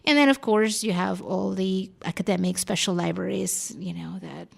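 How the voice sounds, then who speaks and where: Gravelly-edged woman with a Costa Rican accent. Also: medium pitch, normal speed. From Costa Rica. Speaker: librarian, interview guest. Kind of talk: conversational radio interview.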